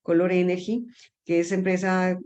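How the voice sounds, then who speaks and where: A woman's voice: on the low side, little pitch movement, moderate pace, darkish tone, dull audio. A middle-aged woman talking casually as an interview guest, often searching for words and repeating them. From Colombia. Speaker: interview guest, energy company founder.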